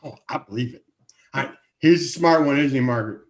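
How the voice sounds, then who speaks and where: A man's voice: medium pitch, quick pace, close to an everyday voice. A man acting a folksy, jovial older relative of a bride in a comedy table read, chatty and hearty. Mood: jovial, needling.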